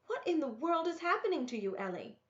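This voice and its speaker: A woman's voice: high voice, big pitch swings, fairly quick, pitched above the performer's own voice. A woman voicing a stern, worried mother who scolds sharply in a storybook. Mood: exasperated. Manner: sharp, exasperated. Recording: roomy, echoey, dull lo-fi sound.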